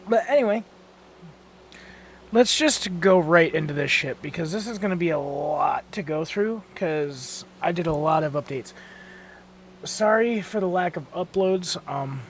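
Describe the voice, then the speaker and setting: Young male host, a bit high, gravelly and hoarse, sleepy and casual, swears and fixes his slips. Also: a little hiss or hum. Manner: stumbles and corrects own words. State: tired, just woke up. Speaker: channel host touring a build. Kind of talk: casual gameplay tour narration. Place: bedroom at home.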